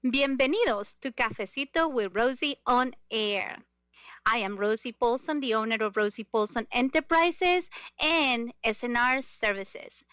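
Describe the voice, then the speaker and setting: Female talk show host, middle-aged, slightly high voice, very dry recording. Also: fairly bright tone, no room sound. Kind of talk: radio interview hosting.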